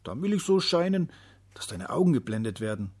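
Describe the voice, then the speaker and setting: A man voicing a kindly, weakened sun in a storybook, apologizing gently. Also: medium pitch, very animated, fairly quick, slightly rough, pitched above the performer's own voice. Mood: apologetic. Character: weak, kindly November sun, female.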